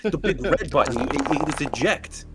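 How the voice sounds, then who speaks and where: A man's voice: fairly high pitch, lively intonation, moderate pace, crowd noise. A man playing a tabletop roleplaying game, bantering casually with the other players.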